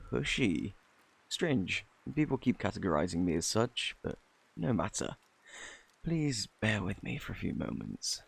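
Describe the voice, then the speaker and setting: A man with a British accent playing a flirty, eccentric fortune teller in a fantasy ASMR roleplay, with a theatrical, boastful, easily flustered manner and a husky, gravelly voice. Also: lowish voice, exaggerated voice. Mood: coaxing, boastful. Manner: theatrical, flustered, coaxing, old-fashioned phrasing. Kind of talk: ASMR roleplay, intimate scripted acting.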